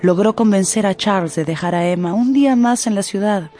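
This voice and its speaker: A woman's voice: medium pitch, fairly quick, warm tone, slight background noise. A woman as narrator, giving a dramatic scripted literary narration for a radio drama. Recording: music under the narration.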